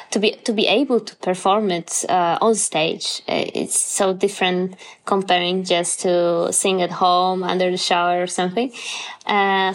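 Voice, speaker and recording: A young woman with a Polish accent talks through her musical work in an interview. She speaks English as a second language, pausing often with ums and starting sentences over. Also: lowish voice. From Poland. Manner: hesitant, many fillers and restarts. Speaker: musician guest. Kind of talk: interview answers.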